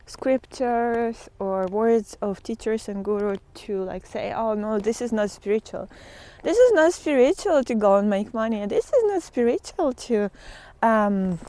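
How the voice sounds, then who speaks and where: A woman giving a casual, unscripted spiritual monologue in a reflective, halting way, often trailing into pauses. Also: on the high side, darkish tone. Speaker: spiritual teacher vlogger.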